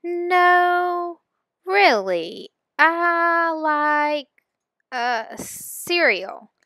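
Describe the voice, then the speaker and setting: Woman reading as a shy, halting young boy. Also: extremely high pitch, expressive, sharp tone, dry recording, studio quality, pitched above the performer's own voice, heavily acted. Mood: nervous. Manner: halting, reluctant. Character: shy, anxious, hesitant young boy with tics.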